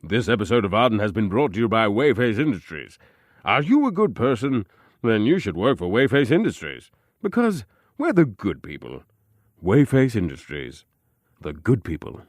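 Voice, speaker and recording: An earnest corporate pitchman for a parody ad. His voice is fairly low with big, animated swings in pitch, recorded dry and close. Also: moderate pace, dry recording. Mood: smug sincerity. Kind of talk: parody ad read.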